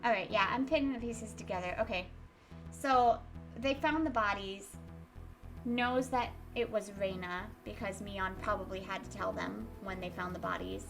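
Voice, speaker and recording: A woman's voice: medium pitch, moderate pace, gravelly, music in the background. A young woman reading a visual novel aloud and chatting about it at home. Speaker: let's player. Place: home room. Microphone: webcam and desk mic.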